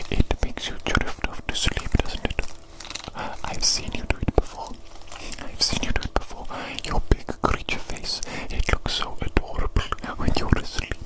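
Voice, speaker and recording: A woman's voice: close to an everyday voice, rough recording. A woman voicing a pompous evil doctor who dotes on a patient in a whispered ASMR roleplay, theatrical and given to repeating phrases. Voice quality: breathy whisper. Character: pompous evil doctor doting on a big creature.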